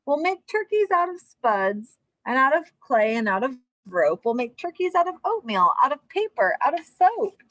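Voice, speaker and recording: Woman reading as a scheming, lively storybook townsman. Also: high voice, normal speed, no room sound, highs cut off. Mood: eager, scheming. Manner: declaring brightly, persuasive. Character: scheming, cheerful townsman, eager to trap a turkey. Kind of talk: children's storybook character voice.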